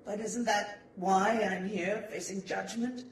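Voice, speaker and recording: A man's voice: sits high, steady tone, slow. A man playing a remorseful, centuries-old servant in a gothic audio drama, speaking in a brooding, formal, theatrical way. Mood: bitter remorse. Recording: roomy, distant, noisy amateur recording.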